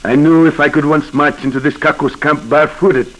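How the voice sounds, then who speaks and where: An actor playing a fierce, proud Haitian governor with a French-Caribbean accent and broken, emphatic English, in a 1940s radio drama. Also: male voice, a little high, fairly even intonation, brisk, a bit bright, dull audio, close to an everyday voice. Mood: proud, subdued. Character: fierce, proud Haitian governor, a rough-spoken military man. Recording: noisy, crackly 1940s radio broadcast.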